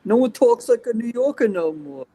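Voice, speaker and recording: A man doing a brash old Lower East Side hustler. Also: lively intonation, moderate pace, mellow. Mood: mock-indignant. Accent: put-on New York. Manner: brash, wisecracking, haggling street-peddler patter. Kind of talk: comic improv bit on a chat stream.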